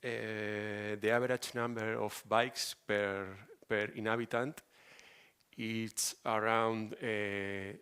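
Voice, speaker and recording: A man with a strong Spanish accent presenting a project at a conference as an architect, halting and hesitant with frequent pauses. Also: lowish voice, a bit bright, smooth. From Spain.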